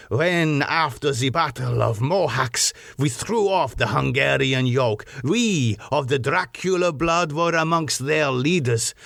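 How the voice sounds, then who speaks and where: Proud ancient vampire nobleman: boastful, declamatory gothic storybook villain, measured pace, dry close sound. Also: mid-range voice, vocal fry, fairly bright tone, dry recording, exaggerated voice. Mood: proud, scornful. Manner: grandiose, declamatory, rhetorical questions. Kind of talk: dramatic gothic audiobook character reading.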